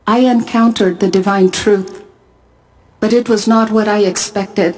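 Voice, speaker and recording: A middle-aged woman from Pakistan, speaking at a conference and telling her own story in a measured, earnest way with deliberate pauses. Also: mid-range voice, darkish tone, rough recording. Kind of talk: testimony talk to a conference audience. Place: large arena.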